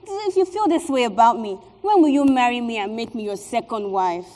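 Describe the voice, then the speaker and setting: An actress plays an impatient mistress with a West African accent, pleading and dramatic in stage style. The pitch is high and the sound rings in an echoey theatre hall. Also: female voice, expressive, brisk, background noise, close to an everyday voice. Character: impatient, pleading mistress eager to become second wife. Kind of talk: stage comedy-drama acting.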